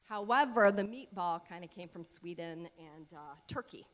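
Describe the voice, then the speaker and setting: A woman's voice: on the low side, moderate pace. An American woman giving an informal educational talk at a church service, chatty and rambling with many fillers and asides. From United States. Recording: long reverb of a large hall.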